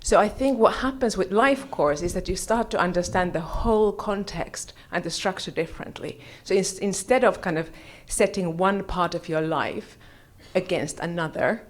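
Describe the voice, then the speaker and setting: A woman's voice: fairly low pitch, moderate pace, hardly any pauses. A woman from Finland speaking as a government advisor, giving an informal, hedging reflection at a conference.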